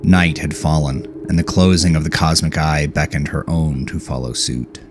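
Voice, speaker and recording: A man's voice: extremely low pitch, steady tone, moderate pace, over music. A man reading scripted horror fiction as an audiobook narrator.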